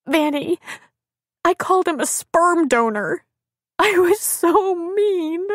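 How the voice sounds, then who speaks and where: A woman's voice: extremely high pitch, expressive, slow, no echo, studio quality, pitched above the performer's own voice, full character voice. A woman voicing a distraught, guilt-ridden young pregnant woman in tearful, emotional audiobook dialogue. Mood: remorseful.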